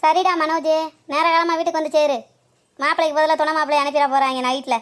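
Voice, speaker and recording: A woman's voice: high-pitched, steady tone, fast, a little hiss or hum, natural voice. A woman voicing a fussy, insistent middle-aged mother in a cartoon comedy, with a scolding, pressing manner. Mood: suspicious.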